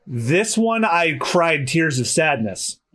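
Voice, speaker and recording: A man hosting a casual podcast, chatty and slightly hoarse, often restarting his sentences. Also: a little high, very animated, takes it slowly, a bit bright. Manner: chatty, self-correcting restarts. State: slightly hoarse after dental work. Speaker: podcast host.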